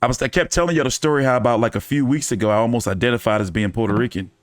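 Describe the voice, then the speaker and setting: A man's voice: mid-range voice, rapid-fire, dry recording, studio quality. A man hosting a casual fight-commentary live stream, talking in loose, profane, joking banter. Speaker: boxing commentary host.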